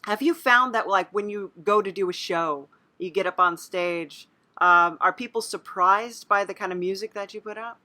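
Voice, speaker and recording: A woman's voice: mid-range voice, moderate pace, few pauses, sharp tone. A woman interviewer talking casually, encouraging and affirming her guest.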